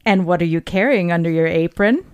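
A woman voicing a sly, smooth-talking male wolf, in a coaxing, wheedling storybook read-aloud. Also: fairly low pitch, fairly quick, natural voice.

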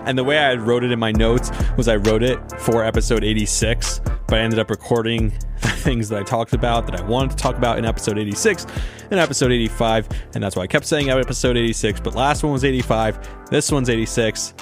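A man's voice: mid-range voice, fairly even intonation, brisk, over music. A young man hosting a sports podcast in a casual, rambling way, his voice a little sore. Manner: chatty, rambling, laughs often. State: slightly sore voice. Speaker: podcast host.

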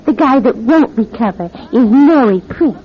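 An actress playing a tough, scheming go-go dancer with sardonic, slangy delivery in an old radio drama. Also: female voice, fairly high pitch, animated, moderate pace, lightly acted. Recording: muffled old radio recording.

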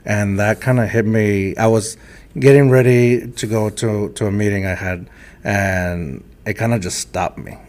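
A man's voice: lowish voice, fairly even intonation, a little slow, a little mellow. A man from a Texas border town with a light Mexican accent, hosting a podcast in a hesitant, reflective way. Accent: Mexican-influenced Texan. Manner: hesitant, many fillers and restarts, reflective. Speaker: podcast host. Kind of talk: casual interview talk.

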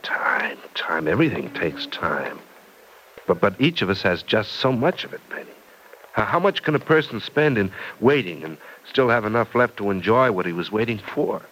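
A man's voice: lowish voice, big pitch swings, moderate pace, fairly bright tone, husky, full character voice, rough recording. An actor playing an impatient young man in love who stammers and repeats words, in 1940s radio acting style. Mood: earnest.

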